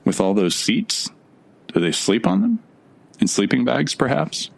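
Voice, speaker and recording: A man's voice: medium pitch, sing-song, measured pace, gravelly, dry recording, heavily acted. A man playing a bewildered traveler from another world in an audio drama, questioning, hesitant and wry. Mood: puzzled, wry.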